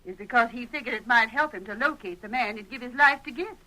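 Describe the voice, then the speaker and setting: High, bright old frontier woman. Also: quick pace, low-quality recording. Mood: gossipy. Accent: American. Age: older. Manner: folksy, exclamatory. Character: spirited, talkative old frontier woman, plain-spoken and curious. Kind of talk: 1940s radio western acting.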